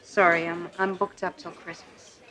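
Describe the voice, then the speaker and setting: An actress playing a brusque, guarded waitress in a naturalistic television drama. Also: female voice, lowish voice, level tone, moderate pace, sharp tone, soft background music. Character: guarded young waitress, wary of men's advances. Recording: TV soundtrack with crowd noise behind.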